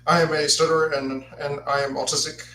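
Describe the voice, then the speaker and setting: Man, 20s, stutters with restarts, flat monotone, bright voice, echoey room with mic at a distance. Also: a little high, brisk, a little hiss or hum. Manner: stutters, repeats words and restarts. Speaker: podcast host. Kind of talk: solo podcast talk, partly read aloud.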